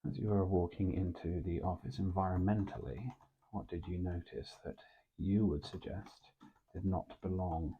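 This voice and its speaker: A British man playing a composed, formal intelligence officer in a hushed, measured ASMR interview role play, with a breathy voice and muffled recording. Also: low-pitched, steady tone, a little hiss or hum. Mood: probing, formal. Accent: British English. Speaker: ASMR performer.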